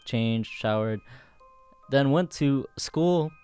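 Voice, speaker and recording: A young man from the Philippines co-hosts a casual podcast with a friend. His mid-pitched voice is laid-back and slangy, with a joking streak, and music plays behind him. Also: expressive, takes it slowly, darkish tone, clear, resonant tone. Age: young adult. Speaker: podcast co-host. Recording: music in the background.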